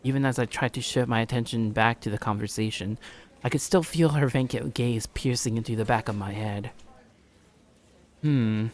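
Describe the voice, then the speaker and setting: A man's voice: medium pitch, moderate pace. A man in a casual let's play style, reading a visual novel aloud informally and stumbling now and then. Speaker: gaming streamer.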